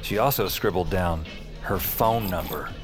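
Deep, sardonic, world-weary man. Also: a little slow, music in the background. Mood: weary, wry. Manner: sardonic, wry. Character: world-weary, wisecracking hard-drinking man narrating his own nights. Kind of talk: first-person audio drama narration and dialogue.

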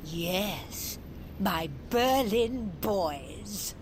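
Flamboyant, swooping old granny. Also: female voice, mid-range voice, lively intonation, extremely slow, slight background noise. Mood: insistent. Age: older. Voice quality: theatrical, swooping. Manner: blunt, bossy, exclamatory. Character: brash, flamboyant, impatient old granny craving adventure. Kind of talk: audio drama acting.

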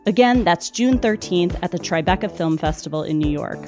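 A woman's voice: fairly low pitch, expressive, brisk, music in the background. A woman podcast host reading a scripted promotional announcement to listeners.

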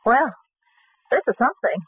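A woman's voice: high voice, expressive, normal speed, husky, no room sound, full character voice. An actress playing a self-possessed, inquisitive young woman in 1940s radio drama, wry and articulate. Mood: wry, curious. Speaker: actor. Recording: tinny, narrow old radio recording.

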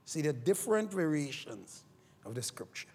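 A man from Barbados with a Barbadian accent, preaching a sermon, moving from quiet scripture reading to fervent exhortation. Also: a little high, very animated, moderate pace, husky. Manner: reads scripture quietly, then rises to fervent shouted preaching. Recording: long hall reverb.

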